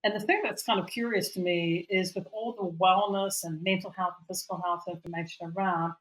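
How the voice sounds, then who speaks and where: A woman's voice: on the low side, fairly even intonation, brisk, poor audio. A woman co-hosting a casual chat as a coach, talking reflectively and rambling, with many "you know"s and "like"s, over a remote call. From Europe. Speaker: podcast co-host and coach.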